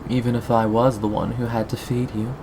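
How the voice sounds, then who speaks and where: A performer in an intimate ASMR roleplay voicing a possessive, sweetly menacing captor, coaxing and teasing with pauses and sighs. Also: medium pitch, flat delivery, noisy background, close to an everyday voice. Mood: wounded, condescending.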